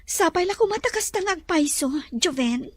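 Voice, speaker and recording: A woman playing a gentle, repentant lady of the mansion in an audio drama, soft and earnest. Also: very high voice, expressive, moderate pace, no room sound. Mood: friendly, teasing.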